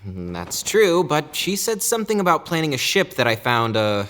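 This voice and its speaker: A man's voice: a little high, very animated, normal speed, fairly bright tone. Hesitant, apologetic male attendant, noisy recording. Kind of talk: audio drama acting.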